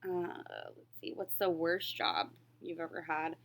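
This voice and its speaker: A woman's voice: sits low, sing-song, measured pace, a bit bright. A woman in her late twenties chatting casually and candidly as a crafting vlogger, rambling a little as she answers questions about herself. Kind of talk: casual chat, answering questions while stitching.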